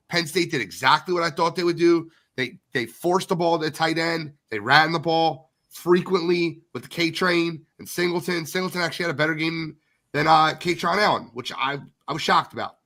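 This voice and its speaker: A man's voice: on the high side, a little fast, sharp tone. A man hosting a sports podcast, blunt and opinionated, sounding congested from a cold. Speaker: podcast host.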